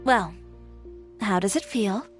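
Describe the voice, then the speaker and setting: A woman's voice: mid-range voice, expressive, takes it slowly. An actress playing an assertive, composed young female fashion designer in a video game, speaking with cool self-assurance. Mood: relaxed, reflective. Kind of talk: video game character dialogue. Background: background music.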